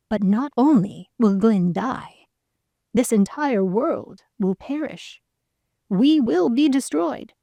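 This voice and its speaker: A woman's voice: on the high side, gravelly, dark tone, dry recording, voice pushed up, full character voice. Woman doing a solemn encouraging spirit guide.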